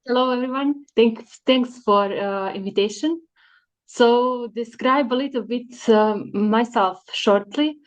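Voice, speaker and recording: A woman, answering interview questions in an unhurried way with many fillers. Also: a little high. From Serbia. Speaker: guest, data scientist. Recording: dull lo-fi sound.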